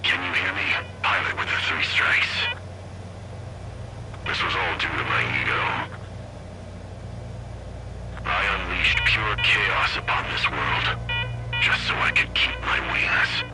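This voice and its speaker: An actor playing an older enemy fighter ace in a video game, grave, measured and solemn over a radio filter. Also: male voice, low voice, low-quality recording. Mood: weary, remorseful. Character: proud old enemy ace pilot, weary, solemn. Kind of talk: dramatic video game acting. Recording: loud music behind the voice. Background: loud music, battle sounds.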